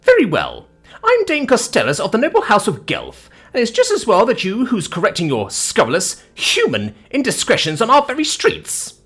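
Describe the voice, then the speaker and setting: An indignant, snobbish noblewoman from an audiobook, acted by a man, scolds in pompous, ornate phrases. The voice is pitched up and swoops dramatically, with a slightly husky grain. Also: huge pitch swings, moderate pace, a bit bright, soft background music, exaggerated voice. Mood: haughty. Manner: pompous, admonishing, ornate speech.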